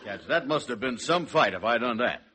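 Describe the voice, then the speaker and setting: Dim blustering pal, forceful tone, lively swings, folksy grammar, old-time radio comedy recording. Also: male voice, medium pitch, fairly quick, slight background noise. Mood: astonished. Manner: folksy ungrammatical speech, blustering. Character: gullible, slow-witted male lodge pal. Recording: vintage radio broadcast recording.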